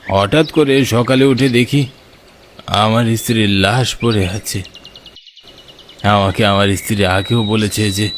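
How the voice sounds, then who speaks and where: A man's voice: lowish voice, a little hiss or hum. A man voicing a grave, elderly widower in a horror cartoon. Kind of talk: cartoon horror drama dialogue.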